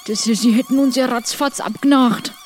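A woman's voice: high-pitched, steady tone, brisk, a little mellow, slight background noise. A woman playing a role-playing game, chatting casually and improvising in character.

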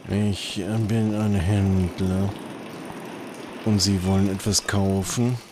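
Man playing a deep-voiced, haughty market trader. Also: level tone, noisy background. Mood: smooth, calculating. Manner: deliberate, formal, condescending. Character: shrewd, haughty male market trader, slippery and formal. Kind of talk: improvised adventure audio play.

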